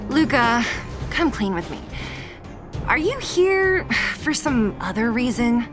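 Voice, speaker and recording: High-toned, sceptical young warrior. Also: female voice, very animated, takes it slowly. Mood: suspicious, weary. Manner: matter-of-fact, mildly exasperated, commanding. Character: composed, sceptical young woman warrior, dry and decisive. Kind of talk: anime-style video game voice acting. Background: music.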